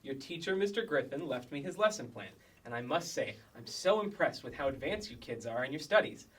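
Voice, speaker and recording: Polite substitute schoolmistress read by a man, husky mid-range voice, measured and formal, sketch comedy. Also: mid-range voice, animated. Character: polite, measured female substitute teacher Miss Della. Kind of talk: staged reading of sketch comedy. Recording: slightly distant microphone.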